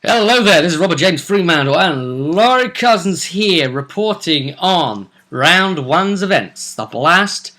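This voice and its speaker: A man's voice: high voice, expressive, unhurried, few pauses, pushed and bright. A man, hosting a vidcast and giving a chatty, casual recap of a tabletop role-play tournament. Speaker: vidcast host.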